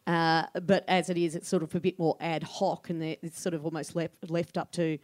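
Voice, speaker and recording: A woman's voice: low voice, level tone, a little fast, darkish tone, no room sound. An older Australian woman talking candidly as a podcast guest, chatty, with fillers and frequent laughter. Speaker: comedy room programmer, guest. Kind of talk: live podcast conversation.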